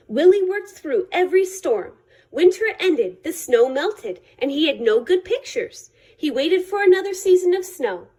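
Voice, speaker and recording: Female storyteller for children's picture book: high voice, lively swooping intonation, deliberate dramatic phrasing. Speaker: reader of a children's picture book, library staff. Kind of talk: expressive read-aloud storytelling for children.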